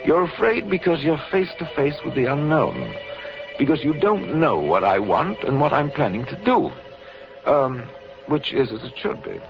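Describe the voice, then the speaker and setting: A man playing a coolly taunting middle-aged kidnapper in a 1940s radio drama, with smug, formal, deliberate delivery. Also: on the high side, very animated, over music. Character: tall sinister fifty-year-old kidnapper, coolly taunting experimenter. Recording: muffled vintage 1940s radio recording.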